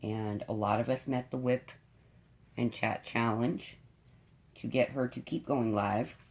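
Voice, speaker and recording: Middle-aged woman w a cold, gravelly and stuffed up, rambling chat to her viewers from home. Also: a bass voice, steady tone, measured pace, darkish tone. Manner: chatty, rambling, frank. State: congested with a cold, raspy and deep. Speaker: diamond painting YouTuber.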